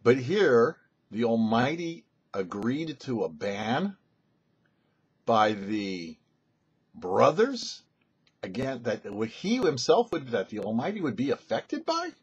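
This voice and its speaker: Rabbi teaching Torah in lively tones. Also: male voice, medium pitch, husky, muffled recording. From United States. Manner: thoughtful explanatory teaching with pauses. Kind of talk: weekly Torah commentary. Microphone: studio mic.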